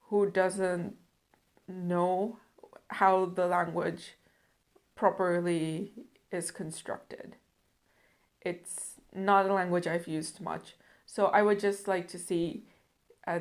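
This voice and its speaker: A young woman from the Netherlands talking to camera about her language goals, earnest and reflective. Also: lowish voice. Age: young adult. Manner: earnest, reflective, measured with some fillers. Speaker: language learning vlogger. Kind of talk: casual talk to camera.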